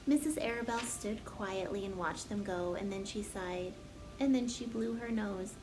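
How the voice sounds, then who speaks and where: A woman's voice: medium pitch, moderate pace, music in the background, poor audio. Woman reading a children's story aloud. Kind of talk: expressive children's story narration.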